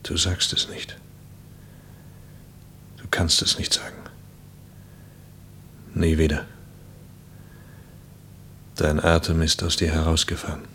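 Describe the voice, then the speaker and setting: A man's voice: slow, vocal fry, pauses often, in a whisper, heavily acted. An actor playing a grave, mournful man addressing the dead, subdued, in a German radio play monologue. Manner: subdued, monotone. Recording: faint low rumbling noise.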